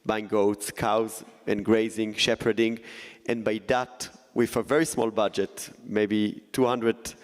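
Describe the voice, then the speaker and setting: A man, a journalist on a festival panel, explaining at a measured, careful pace. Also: on the low side, level tone. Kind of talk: panel discussion talk. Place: festival conference hall. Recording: long reverb of a large hall.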